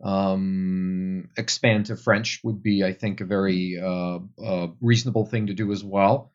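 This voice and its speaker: A man's voice: low voice, fairly even intonation, unhurried, highs cut off. A young Flemish man, a Dutch speaker, answering interview questions about tour guiding thoughtfully, with many fillers. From Belgium. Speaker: tour guide guest.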